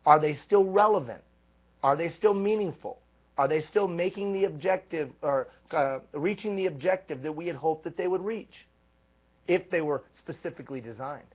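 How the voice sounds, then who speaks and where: A man giving a live leadership coaching talk, energetic and emphatic, stacking repeated phrases. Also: high voice, husky. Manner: energetic, emphatic, repetitive build-ups. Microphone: live stream microphone. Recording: tinny phone-like live stream.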